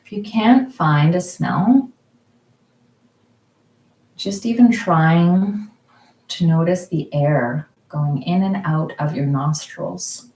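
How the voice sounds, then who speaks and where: A woman with a lowish, mellow voice guides listeners through a mindfulness exercise, slowly and gently, pausing often. She speaks from her home kitchen, and the room adds a noticeable echo. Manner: slow, soft, calm guiding with frequent pauses. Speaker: mindfulness group leader.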